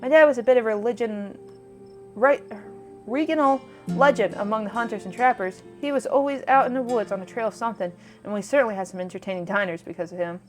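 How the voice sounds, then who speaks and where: Woman voicing friendly small-town game character, chatty, earnest, high pitch, light background music. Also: fast talker, lightly acted. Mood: earnest, warm. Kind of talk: reading visual novel dialogue.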